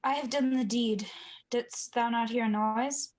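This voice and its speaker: A woman's voice: a little high, steady tone, a little slow, a little mellow, natural voice. A woman playing a wavering, guilt-ridden nobleman in a 1940s-style radio play, with earnest, troubled delivery. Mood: haunted.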